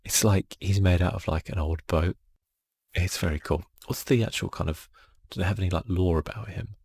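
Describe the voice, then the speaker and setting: Very deep, husky, soft-spoken man. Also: fairly even intonation, moderate pace, no room sound. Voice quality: soft, breathy, often half-whispered. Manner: casual, laid-back, musing to himself. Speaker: gaming channel host. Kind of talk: relaxed ASMR game commentary.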